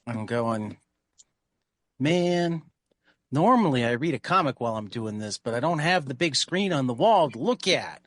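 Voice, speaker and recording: A man with a middling pitch, recorded very dry. He plays a tabletop game and talks casually in character, offhand and joking. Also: lively intonation, normal speed, no room sound. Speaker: role-playing game player.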